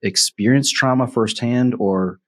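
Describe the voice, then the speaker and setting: Brief: young male host, inquisitive, a bit husky. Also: mid-range voice, measured pace. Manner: curious, asks questions, restarts phrases. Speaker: podcast host. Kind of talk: casual podcast interview.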